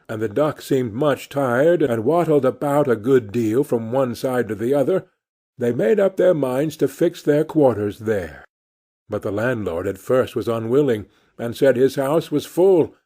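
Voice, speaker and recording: Male narrator for children's fairy tale audiobook, dark mellow tone, scripted read. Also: mid-range voice. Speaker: audiobook reader.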